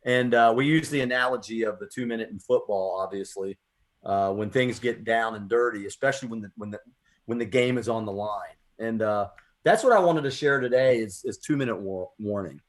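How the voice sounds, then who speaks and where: A man's voice: on the low side, brisk. Earnest American man hosting a poetry show. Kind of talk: conversational radio talk and spoken-word reading.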